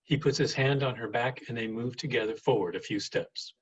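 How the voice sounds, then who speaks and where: A man reading stage directions as scripted narration, with a husky voice. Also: mid-range voice, a little fast, highs cut off. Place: indoors, on a video call.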